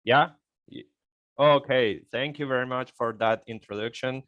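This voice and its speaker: A man in his thirties from Venezuela with a Venezuelan Spanish accent, a native Spanish speaker presenting on an online call, halting and tagging "okay?" often. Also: mid-range voice, fairly bright tone, no room sound, highs cut off. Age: 30s. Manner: halting, repeats words, frequent "okay?" tags.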